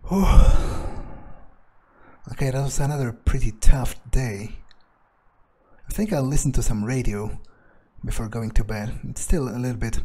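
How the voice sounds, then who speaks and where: Sardonic man muttering in character; husky, mellow tone. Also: mid-range voice, moderate pace, long pauses. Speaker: gamer roleplaying a character. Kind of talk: in-character game commentary.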